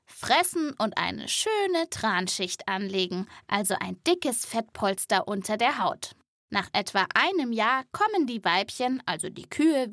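A woman's voice: on the high side, a bit bright, no room sound. A woman hosting a children's podcast, telling stories as scripted narration and addressing young listeners directly, recorded in a studio.

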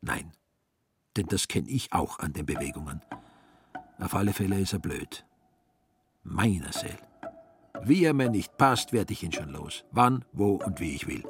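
A man's voice: low voice, expressive, a little slow, pauses often, slight background noise. A man narrating a literary radio play in a wry storytelling manner. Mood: wry, grumbling. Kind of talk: literary narration with acted inner monologue.

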